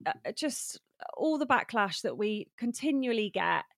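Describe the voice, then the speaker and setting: A woman co-hosting a podcast, friendly and conversational, often checking in with her co-host through tag questions. Her voice is fairly high. The sound is close and dry. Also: sing-song, a little slow, vocal fry, no room sound. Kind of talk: casual chat.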